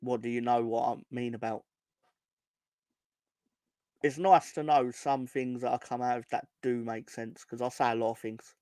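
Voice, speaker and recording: A hoarse man ranting on a casual football fan podcast, swearing and rambling with many restarts. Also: medium pitch, fairly even intonation, normal speed, long pauses, mellow, no echo, studio quality. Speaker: podcast co-host.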